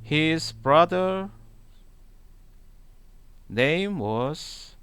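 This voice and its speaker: A man reads scripture aloud extremely slowly, breaking it into short phrases with long pauses. His voice sits a little high, with a lively rise and fall in pitch. The delivery is halting and deliberate. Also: clean tone, no echo. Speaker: Bible reader, channel host. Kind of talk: slow read-aloud of scripture.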